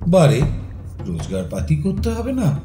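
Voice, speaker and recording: A man playing a casual, teasing gentleman in audio story dialogue, colloquial and theatrical. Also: on the high side, huge pitch swings, measured pace. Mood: evasive. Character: teasing, casual Bengali men: old flame and genial husband. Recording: roomy echo with faint music. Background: soft music.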